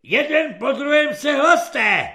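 A man voicing a bullying young army corporal who yells orders and scorn at his recruits. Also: very high-pitched, expressive, pitched above the performer's own voice. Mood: angry shouting. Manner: yelling, scornful, barking orders.

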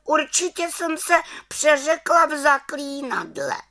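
A little witch from a children's storybook, with a very high, bright, childlike voice. She is stubborn and sulky, pressing her words with lively emphasis. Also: heavily acted. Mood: vexed. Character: small young witch girl, aged 127, childish and stubborn.

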